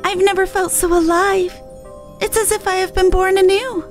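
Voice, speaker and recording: Innocent newborn spirit, extremely high voice, wide-eyed, formal, devoted, fantasy game, faint music. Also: female voice, fairly even intonation, moderate pace. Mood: awed joy.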